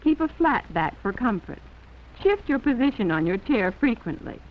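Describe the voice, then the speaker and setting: A woman's voice: fairly high pitch, big pitch swings, moderate pace, slight background noise. An American woman reading scripted 1940s instructional film narration in a formal, instructive manner. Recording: muffled, tinny 1940s film soundtrack.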